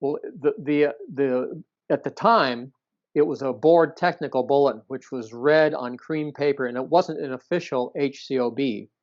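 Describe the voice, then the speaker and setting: A man being interviewed, telling stories from his past conversationally, in a halting way with repeated words and rephrasing. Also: medium pitch, darkish tone. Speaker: interview guest. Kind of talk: conversational recounting of past experiences. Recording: dull, lo-fi sound.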